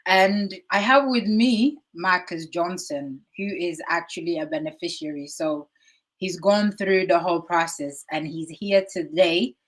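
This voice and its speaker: A woman hosting an online interview show, unhurried and filler-heavy, her voice affected by a cold. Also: lowish voice. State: has a cold. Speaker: host.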